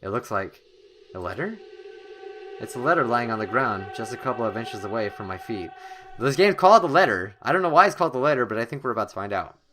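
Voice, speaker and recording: A man's voice: mid-range voice, quick pace. Man doing let's-play commentary over noisy background. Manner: expressive, dramatic reading with reactive asides. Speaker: gamer, let's-play host. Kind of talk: let's-play commentary, reading game text aloud.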